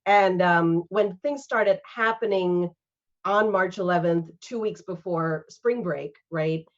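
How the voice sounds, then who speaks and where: A woman's voice: on the low side, takes it slowly. A woman from Singapore, an arts fund organizer, speaking earnestly and from the heart in a panel conversation over a video call. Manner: earnest, heartfelt, with frequent fillers. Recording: dull lo-fi video call audio.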